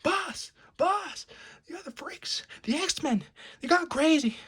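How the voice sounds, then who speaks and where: A man's voice: very high voice, animated, slow, a bit bright, pitched above the performer's own voice, heavily acted. Squealing, panicky henchman. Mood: panicked. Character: panicky male circus henchman, frantic underling. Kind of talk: comic book read-aloud acting.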